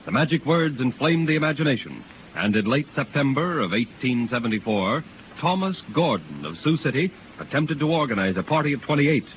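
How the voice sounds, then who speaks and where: A man's voice: medium pitch, very animated, a little fast. A man giving grand, declamatory scripted narration as a 1930s radio announcer. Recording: scratchy tinny 1930s radio recording.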